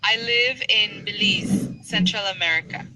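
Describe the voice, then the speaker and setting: A woman tutoring English over a video call, explaining patiently and clearly. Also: medium pitch, measured pace, over loud music, low-quality recording. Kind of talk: online tutoring conversation.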